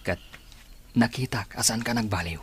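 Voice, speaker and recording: A man's voice: lowish voice, moderate pace, slight background noise, close to an everyday voice. A man playing an earnest young suitor, hesitant and sincere, in an Ilocano audio drama.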